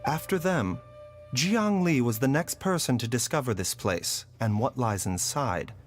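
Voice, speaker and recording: An actor voicing a calm, scholarly man in a game, explaining things in a measured way. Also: mid-range voice, faint music behind, close to an everyday voice. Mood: composed.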